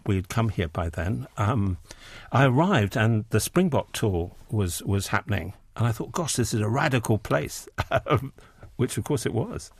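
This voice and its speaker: A man's voice: fairly low pitch, expressive, measured pace, gravelly, breathy edge, dry recording, clean studio sound. A man in his sixties with an English accent, an interview guest who talks about books and poetry with many ums and restarts. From England. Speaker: interview guest, poet and editor. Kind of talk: relaxed radio interview conversation.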